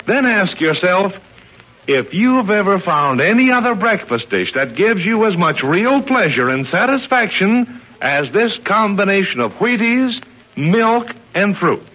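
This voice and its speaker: A man's voice: sits high, a bit bright. A man announcing and narrating in 1940s radio style, with chummy, emphatic scripted patter. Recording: dull old radio recording.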